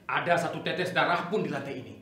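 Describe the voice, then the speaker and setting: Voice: medium pitch, fast, sharp tone, close to an everyday voice. A performer playing a bombastic, authoritarian general in a theatrical dramatic reading, emphatic and commanding. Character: bombastic, authoritarian general scheming amid political chaos. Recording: echoey room, distant microphone.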